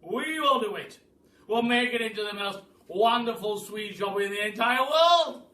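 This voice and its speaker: A man voicing an excitable, kindly old aristocratic duke in a storybook, blustering and stammering as he repeats his words. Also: high voice, normal speed, voice pushed up. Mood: excited. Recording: echoey room, distant mic, faint noise.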